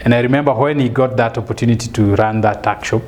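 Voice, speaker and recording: A Kenyan man in his thirties answers in a studio interview, speaking on a lapel mic. He takes his time, with a steady, mid-pitched voice, and sprinkles in fillers and restarts. Faint room ambience sits under him. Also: slight background noise. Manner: measured, with many fillers and restarts. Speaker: guest, author and business speaker. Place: studio set, seated in an armchair.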